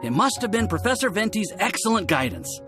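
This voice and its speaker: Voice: medium pitch, sing-song, fairly quick, sharp tone, faint music behind. An actor playing a bashful, earnest young male alchemy student in a video game, hesitant and deferential. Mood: delighted, grateful. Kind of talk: video game character acting.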